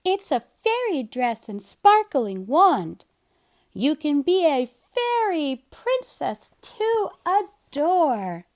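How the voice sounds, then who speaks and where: Playful storybook girl kitten, adult female reader: high, gushing, lively pitch movement. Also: drawn-out words, heavily acted. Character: Kitten, a bubbly, playful little girl cat.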